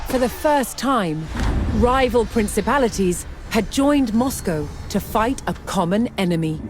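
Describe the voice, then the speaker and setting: A woman reading scripted documentary narration in a measured, dramatic storytelling manner. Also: a little high, lively intonation. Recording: music under the voice. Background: music.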